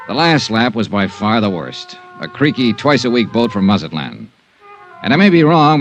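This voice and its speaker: Casting: hard-boiled American investigator, lowish voice, lively inflection, sardonic, old-time radio drama. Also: male voice, lo-fi sound. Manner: wry, sardonic, clipped. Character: wry, hard-boiled male freelance insurance investigator. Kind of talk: 1950s radio drama acting and first-person narration.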